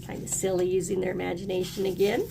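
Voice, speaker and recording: A woman's voice: on the low side, level tone, fast, gravelly, background noise. A woman teaching young children, encouraging them in short, simple phrases as she reads aloud and explains. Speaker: early elementary teacher.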